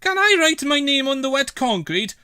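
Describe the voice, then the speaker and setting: A man's voice: very high voice, big pitch swings, moderate pace, sharp tone, no echo, pitched above the performer's own voice, exaggerated voice. A man voicing a cheeky, mischievous young village boy in a children's storybook.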